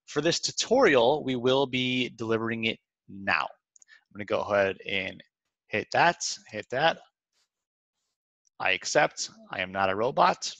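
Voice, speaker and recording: Male tutorial presenter, medium pitch, informal instructional delivery, semi-scripted, dry voice-over sound. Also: pushed and bright, no echo. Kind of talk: walkthrough narration of a screen demo, semi-scripted. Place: close dry voice-over for a screen recording.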